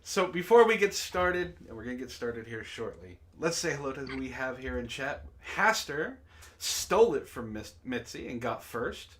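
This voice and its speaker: A man's voice: medium pitch, sing-song, moderate pace, fairly bright tone. A man hosting a casual group livestream with informal, sweary banter. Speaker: host.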